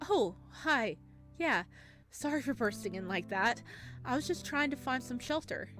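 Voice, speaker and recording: A woman's voice: on the high side, lively intonation, a little slow, music in the background. A woman voicing a friendly, apologetic customer in a visual novel, casual and polite in manner.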